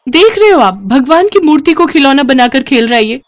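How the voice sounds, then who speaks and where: A woman puts on a scolding village mother for a storybook tale. Her voice jumps high, with sharp exclamations and very animated, indignant swings. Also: a little fast. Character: scolding, easily upset young village mother. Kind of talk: animated Hindi moral story dialogue.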